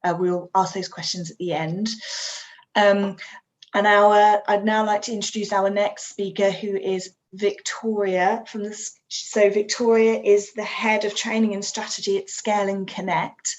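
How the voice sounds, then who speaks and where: A woman's voice: medium pitch, low-quality recording. A woman moderating an online panel who thanks speakers, introduces the next one and reminds the audience about questions in a polite, effusive manner.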